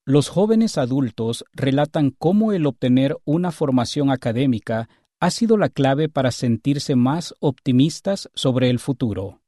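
A man announcing a segment introduction in a smooth, even scripted delivery. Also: medium pitch, a little slow, no room sound, studio quality.

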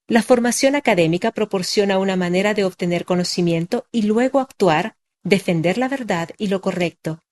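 A young adult woman narrating a personal testimony in a measured, earnest scripted read. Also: mid-range voice, a little mellow.

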